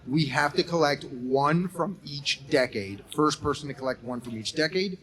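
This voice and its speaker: A man's voice: a little high, a bit bright. A man in his forties co-hosting a live podcast on a college campus, upbeat and laughing often. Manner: upbeat, laughs often, rushes through explanations. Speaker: podcast co-host and game host. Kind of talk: casual live podcast chat.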